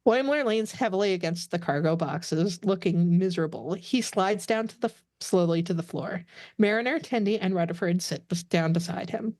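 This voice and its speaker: A woman's voice: lowish voice, moderate pace, a little mellow, no room sound. A woman narrator reading scripted stage directions for a podcast table read.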